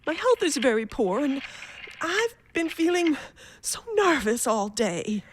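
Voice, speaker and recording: An actress playing an anxious, querulous invalid wife in a radio drama, fretful and insistent. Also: female voice, high-pitched, big pitch swings, unhurried, slight background noise. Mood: nervous, plaintive.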